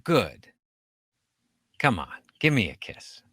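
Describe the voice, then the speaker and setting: An actor playing a jealous, hot-tempered but loving young husband in a re-created 1940s radio comedy, with an excitable, exaggerated delivery. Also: male voice, mid-range voice, takes it slowly, heavy background noise. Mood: softened, affectionate.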